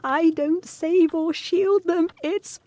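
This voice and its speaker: A woman playing an anxious, probing young governess in a gothic audio drama, earnest, measured and intense. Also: extremely high pitch, fairly even intonation, mellow. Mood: anguished urgency.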